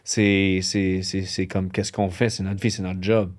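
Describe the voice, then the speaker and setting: A man with a Québécois accent speaks as an interview guest, his voice on the low side. He tells his stories in an easygoing, meandering way, filling pauses with "tu sais". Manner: relaxed, rambling, lots of "tu sais". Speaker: professional hockey player, guest. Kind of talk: casual interview storytelling.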